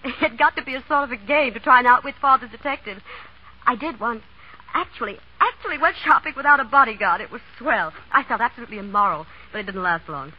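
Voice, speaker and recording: A spirited young heiress in an old radio play, candid and headstrong. The voice is high and very lively with a husky grain. It comes through a muffled 1930s broadcast. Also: female voice, fast talker. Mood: chatty, candid. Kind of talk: 1930s radio drama acting.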